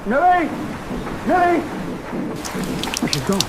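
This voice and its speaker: An actor playing an agitated, frightened husband and scientist, talking urgently in a vintage radio drama. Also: male voice, very high-pitched, big pitch swings, slow, heavy background noise, heavily acted. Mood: alarmed.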